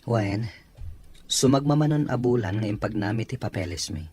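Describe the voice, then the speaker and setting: A man's voice: fairly low pitch, expressive, normal speed, mellow, slight background noise, natural voice. A man playing a casual, evasive young employer who is kind to his maid, in an audio drama. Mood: friendly.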